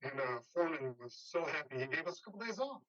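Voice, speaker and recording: An actor playing an easygoing, loyal construction worker friend in a play reading, talking casually and haltingly. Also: male voice, fairly high pitch, expressive, pushed and bright, lo-fi sound. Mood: breezy. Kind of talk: remote staged play reading.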